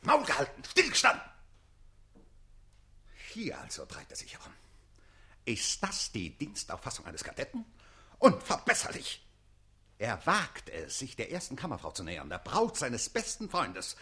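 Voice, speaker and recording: An actor playing an imperious, jealous count in a German radio play, commanding, blustering and scolding. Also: male voice, mid-range voice, huge pitch swings, normal speed, long pauses, sharp tone, heavily acted. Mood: furious. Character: imperious, jealous male count, master of the castle.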